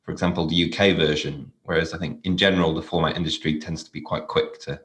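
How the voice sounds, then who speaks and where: Male interviewer, very low voice, conversational journalistic questioning. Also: steady tone, fast talker, fairly bright tone.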